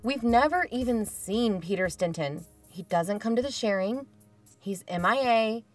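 A woman's voice: a little high, lively intonation, unhurried, faint music behind. A woman playing a pragmatic investigator in an audio fiction podcast, speaking in a matter-of-fact, reasoning way. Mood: weary, insistent. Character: pragmatic, level-headed female investigator.

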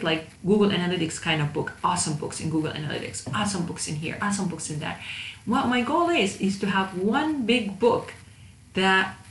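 A woman instructor giving an informal lecture to students, addressing them directly, with a hesitant delivery that often repeats words. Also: on the low side. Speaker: university instructor of digital marketing. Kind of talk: informal online lecture over slides. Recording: roomy echo, faint background noise.

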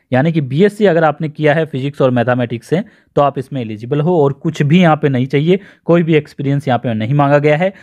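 An Indian man presents an explanatory tutorial in a somewhat high-pitched voice. He guides the listener one step at a time and restates key points for emphasis. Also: darkish tone. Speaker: presenter of job notification videos. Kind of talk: explanatory screen-share tutorial narration.

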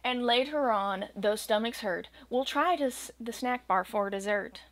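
A young woman reading a rhyming children's picture book aloud at home, with a gentle, expressive storytelling cadence. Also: fairly high pitch, a little slow, slight background noise. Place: small home room with bookshelves and green screen.

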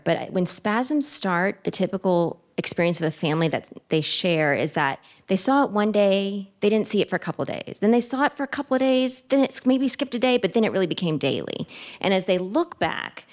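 A woman in her thirties with a low voice answers questions from the floor as a child neurologist. She speaks into a handheld mic in a conference room, thinking aloud with fillers and restarts. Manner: thoughtful, with many fillers and restarts; gestures with her free hand while speaking. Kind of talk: answering questions at a medical talk. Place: conference room in front of a wooden wall. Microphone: handheld microphone.

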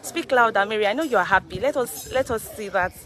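A woman reporter briskly interviewing and prompting on a live walkthrough. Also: fairly high pitch, lively intonation, music in the background. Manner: brisk, prompting, many okays. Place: outdoor compound, then indoor rooms.

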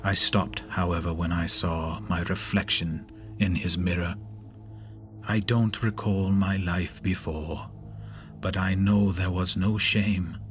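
An actor playing an anguished reanimated monster, a man reciting his story gravely in measured horror verse. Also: low-pitched, fairly even intonation, soft background music. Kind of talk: dramatic horror monologue.

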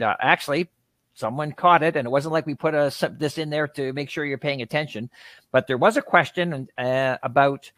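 A man's voice: medium pitch, fairly bright tone, no echo. A man moderating a live webinar in a chatty, rambling way. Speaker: panel host. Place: indoor room, video call. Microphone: video call microphone.

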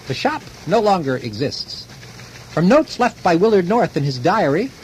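An actor playing an earnest documentary narrator in a radio drama, telling the story deliberately. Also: male voice, high voice, a little hiss or hum, rough recording. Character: earnest documentary narrator reconstructing a man's fate.

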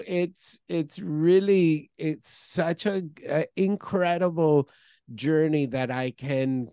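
A man's voice: a little high, dark tone, no room sound, clean studio sound. Man hosting an interview. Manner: formal, enthusiastic introductions. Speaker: interview host. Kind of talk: scripted intro then interview questions. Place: office with branded backdrop. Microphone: headset with boom mic on a video call.

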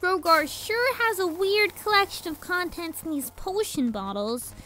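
A woman's voice: extremely high pitch, expressive, measured pace, bright, forceful tone, heavily acted. A woman voicing a sassy, scheming young filly pony villain in a cartoon-style fan audio drama. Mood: scheming, smug. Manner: sassy, defensive.

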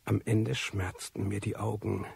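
A reclusive, disillusioned narrator in a radio play. His voice is low and very husky, with gravel in it. He tells his story in a brooding, ironic manner. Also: flat delivery, unhurried, darkish tone, exaggerated voice. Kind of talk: scripted first-person radio play narration.

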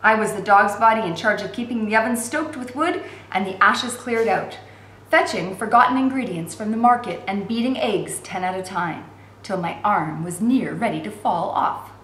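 A woman in her forties reading a novel aloud as an expressive narrator. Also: mid-range voice, normal speed. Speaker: reader of a novel for learners. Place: indoor room at home. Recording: roomy echo with faint background noise.

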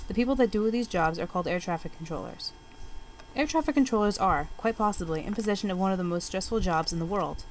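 A woman's voice: lowish voice, slight background noise, poor audio. A young woman, a student narrator, reading a formal documentary script. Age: young adult. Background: music bed.

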